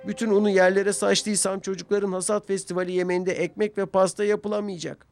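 A man voicing a cheeky, playful young steam engine in an excitable way for a children's cartoon. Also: high-pitched, a little fast, vocal fry, no room sound, soft background music, pitched above the performer's own voice. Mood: dismayed, sorry.

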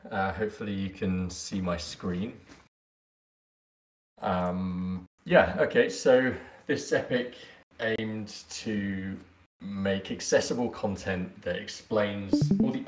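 A man's voice: deep voice, reverberant, low-quality recording. A man giving an explanatory presentation over an online call. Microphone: online call with screen share.

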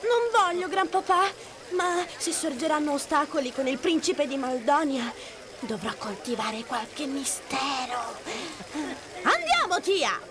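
A woman playing a spoiled, excitable young heiress in an animated video game, gushing, theatrical and fussy. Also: sits high, unhurried, crowd noise. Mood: dramatic, pouting. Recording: music behind the voice.

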